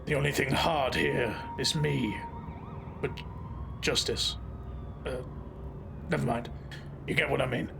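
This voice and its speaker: Man playing a gravelly, brooding noir lawman. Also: medium pitch, unhurried, long pauses, background noise. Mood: pompous. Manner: deadpan, pompous, brooding. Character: hard-boiled, self-important noir lawman, cynical and absurdly deadpan. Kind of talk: noir audio drama first-person narration.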